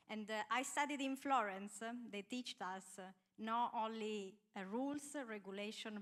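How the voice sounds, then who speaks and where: A woman in her thirties with an Italian accent introducing herself on a panel, pausing often with filler sounds. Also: medium pitch, fairly bright tone. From Italy. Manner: hesitant, many filler sounds. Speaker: panelist, architect.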